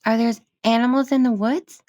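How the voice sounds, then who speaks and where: Woman voicing a high-pitched, curious little girl. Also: steady tone, normal speed, darkish tone, no echo, highs cut off, lightly acted. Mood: awed, curious. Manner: childlike, eager questioning. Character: curious, wide-eyed little girl talking to grown-ups. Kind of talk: audiobook character voice.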